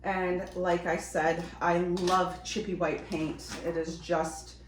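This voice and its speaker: A woman chatting enthusiastically to viewers while doing a quick craft project, a bit sniffly from a cold. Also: fairly low pitch, little pitch movement. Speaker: DIY vlogger. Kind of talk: casual how-to chat while crafting. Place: home decorating space, indoors. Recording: roomy echo, distant from mic, faint noise.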